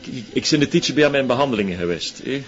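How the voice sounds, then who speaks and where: An actor playing an evasive, gossipy Flemish man who talks in a halting, offhand, colloquial way in a radio drama. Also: medium pitch, expressive, close to an everyday voice. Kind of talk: naturalistic radio drama acting. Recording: dull radio drama with faint music.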